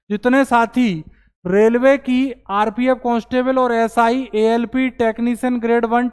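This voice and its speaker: A man teaching as an exam coaching teacher, explaining recruitment news to students in an earnest, explanatory way and slowing down to stress his points. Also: very high voice, clear, resonant tone, in a large hall.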